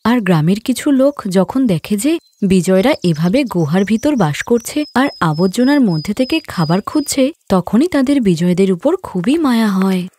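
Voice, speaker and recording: A woman's voice: mid-range voice, lively intonation, moderate pace, warm tone, dry recording. A woman giving scripted narration of a moral story as the storyteller.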